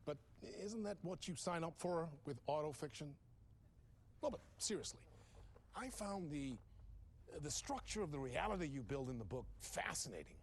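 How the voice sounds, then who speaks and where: An actor playing an exuberant, slick late-night TV host in a video game, bantering with showy, effusive chattiness. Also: male voice, on the high side, very animated, normal speed, gravelly, a little hiss or hum. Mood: playfully intrigued. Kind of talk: theatrical talk show interview banter.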